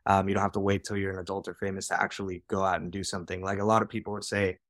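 A man in his twenties, a podcast guest, telling his story in a casual, quick way, often adding "like" and "right?". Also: low voice, level tone, fairly bright tone, no echo, clean studio sound. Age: 20s. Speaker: podcast guest, real estate director. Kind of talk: conversational storytelling.

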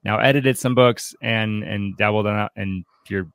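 A man hosting a podcast, chatting casually about books in an unscripted, halting way as he thinks aloud. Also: on the low side, fairly even intonation, creaky, dry recording, clean studio sound. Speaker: podcast host. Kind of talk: casual unscripted book discussion.

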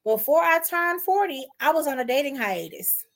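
A woman's voice: sits high, lively intonation, normal speed. A self-assured woman in her early forties talks through her own story in a casual chat. She keeps checking in with her listener as she goes. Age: 40s.

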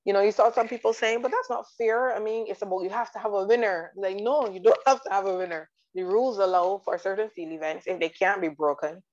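A woman from Barbados chatting about sports in detail, enthusiastic and quick to laugh. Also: medium pitch, darkish tone. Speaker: guest commentator. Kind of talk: casual sports chat. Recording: dull lo-fi audio.

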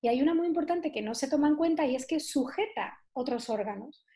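A woman's voice: high voice, animated, fairly quick, warm tone. A woman, a nutrition therapist telling her own story as an interview guest, chatty and full of fillers and tag questions. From southern Spain. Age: 40s.

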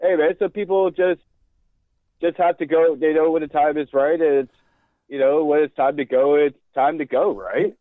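A man hosting a casual podcast chat, talking in a relaxed, hesitant way with lots of fillers. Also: a little high, moderate pace, no room sound. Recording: tinny phone-like line.

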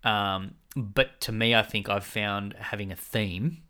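A man's voice: on the low side, fairly even intonation, slow, bright, forceful tone, smooth. A man in his thirties co-hosting a casual podcast chat, thinking aloud with fillers and restarts, close to a studio mic. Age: 30s. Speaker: podcast co-host. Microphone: studio mic with pop filter.

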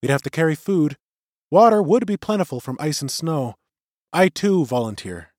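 Narrator doing a matter-of-fact woman of thirty, pitched up, even-tempered, dry close sound. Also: normal speed, no echo. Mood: practical. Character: practical woman, thirty, tall veteran.

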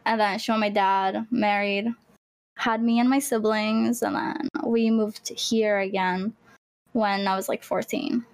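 A woman's voice: mid-range voice, flat delivery, measured pace. A woman of twenty-two from Greece, whose first language is Greek, chatting casually and laughing as a podcast guest. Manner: chatty, laughing, heavy use of "like". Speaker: guest, gamer and streamer.

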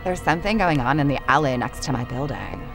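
Low-voiced, gossipy young single. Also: female voice, lively intonation, music in the background. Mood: wary. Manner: gossipy, drawn-out slangy delivery. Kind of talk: campy B-movie audio drama acting.

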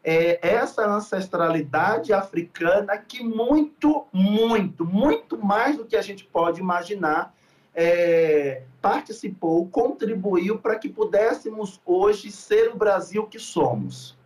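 A man's voice: high-pitched, animated, slow pace. A man from Salvador, a podcast guest talking in an earnest, reflective way with many fillers. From Salvador, Bahia, Brazil. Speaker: guest, food researcher. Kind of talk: podcast interview.

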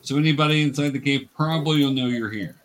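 A man's voice: medium pitch, quick pace. Male game master, mid-range voice, patient and explanatory, teaching a tabletop fantasy game in conversational narration.

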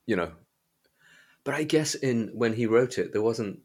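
A man hosting a podcast, talking casually about books in a hesitant, halting way. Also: medium pitch, expressive, a little mellow, slightly rough. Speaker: podcast host. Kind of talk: casual book discussion chat.

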